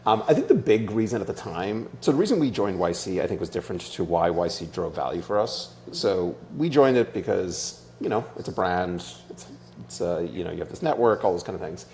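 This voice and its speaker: A man's voice: low-pitched, creaky, a little mellow, slight background noise. An Irish-accented man explaining things casually as a startup founder being interviewed. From Ireland.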